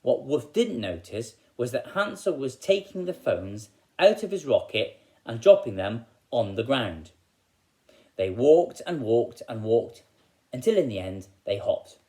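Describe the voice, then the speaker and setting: A man with an English accent talks to children like a primary school teacher. His pitch moves about in a lively way, around an average male register. The delivery is expressive and made for storytelling. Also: unhurried, rough recording.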